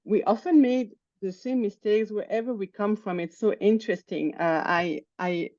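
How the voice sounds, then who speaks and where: A woman's voice: medium pitch, normal speed, darkish tone, no room sound, muffled recording. A woman whose first language is French, talking hesitantly in an online English lesson and often searching for words. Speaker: English student. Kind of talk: conversation practice in an online lesson. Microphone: video call.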